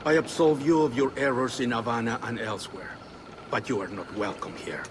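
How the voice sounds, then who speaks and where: A man's voice: medium pitch, expressive, a little fast, close to an everyday voice. A man playing a stern, disapproving leader who speaks solemnly and formally in a video game. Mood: stern reproach. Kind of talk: video game cutscene acting. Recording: clearly noisy outdoor ambience.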